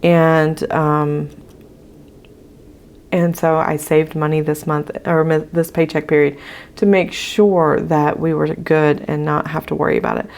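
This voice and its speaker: A woman with a low, gravelly voice that is hoarse. She chats casually through her budget sheets as a channel host and fills her pauses with ums while she writes. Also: flat delivery, a little mellow. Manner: chatty with frequent ums, talking while writing.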